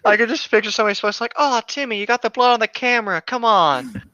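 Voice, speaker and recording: A man's voice: high-pitched, animated, quick pace, sharp tone, dry recording. A man co-hosting a podcast, joking around and reading aloud casually, with some swearing, on a slightly dull lo-fi microphone. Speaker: podcast co-host. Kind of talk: casual banter and creepypasta reading.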